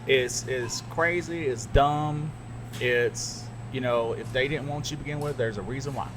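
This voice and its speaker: A mid-pitched man hosts a loose podcast conversation, telling his stories bluntly and with emphasis, often restarting his sentences. A clear hiss of background noise sits under his voice throughout. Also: normal speed. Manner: candid, emphatic, storytelling with restarts. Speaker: podcast host and radio broadcaster. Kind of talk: casual chat. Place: radio studio.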